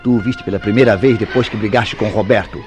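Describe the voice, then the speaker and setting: Low-voiced jealous Brazilian husband, acted by a man. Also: fairly even intonation, fast talker, close to an everyday voice. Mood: bitter, furious. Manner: accusatory, insistent, theatrical delivery. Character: jealous, suspicious husband interrogating his wife. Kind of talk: radio soap opera acting. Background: music behind the voice.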